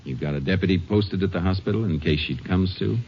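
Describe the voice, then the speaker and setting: An actor playing a calm, terse Texas Ranger investigator with a matter-of-fact manner in a 1950s radio drama. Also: male voice, low-pitched, fast. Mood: businesslike. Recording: muffled vintage radio recording with faint hiss.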